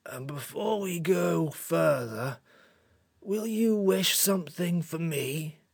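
A woman voicing a grumpy, weary, self-pitying furry magical creature in a storybook, with slow, complaining delivery. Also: low voice, lively intonation, gravelly, slightly rough, dry recording, studio quality, heavily acted. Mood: weary, reluctant.

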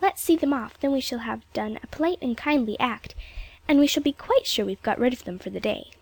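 A woman's voice: sits high, expressive, a little fast, no echo, pitched above the performer's own voice. A woman voicing a polite, dreamy, chatty little English girl with a British accent and a prim manner. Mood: brisk.

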